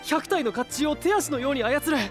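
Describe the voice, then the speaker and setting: A man's voice: very high voice, lively intonation, a little fast. A man playing an earnest, idealistic young knight in an anime-style voice drama, speaking with sincere feeling. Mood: eager admiration. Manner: sincere, swinging from subdued to eager. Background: prominent background music.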